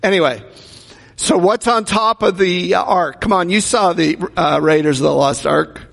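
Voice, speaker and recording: A man's voice: high voice, normal speed, creaky, a bit bright. A man preaching a sermon in a conversational way, asking the congregation rhetorical questions. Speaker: pastor. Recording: long hall reverb.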